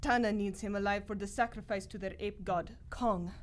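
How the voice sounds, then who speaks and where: A woman's voice: medium pitch, a little fast, close to an everyday voice. A woman playing a frightened, pleading expedition member in live radio theater, stammering and breathless. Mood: uneasy.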